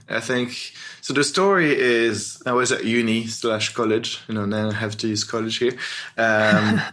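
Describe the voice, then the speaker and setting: Male, French accent and native French, lowish pitch, casual self-deprecating storyteller, interview guest. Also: fairly bright tone. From France. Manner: chuckles as he talks, self-deprecating. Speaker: guest, creative director.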